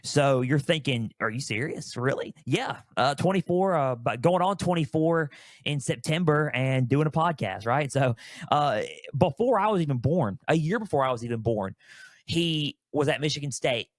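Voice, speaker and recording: A man's voice: a little high, animated, moderate pace, dry recording, studio quality. A man in his early twenties talking solo as a sports podcast host, conversational and storytelling, repeating phrases for emphasis.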